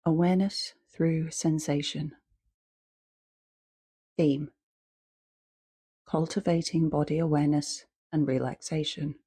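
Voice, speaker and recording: A woman leading a guided meditation as a teacher, speaking calmly and in short, measured phrases with long pauses. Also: low-pitched, breathy edge.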